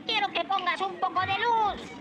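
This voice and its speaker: A woman with a Latin American accent voicing a little cheerful, bumbling magician creature in a cartoon, squeaky. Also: fairly even intonation, moderate pace, a loud crowd. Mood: playful.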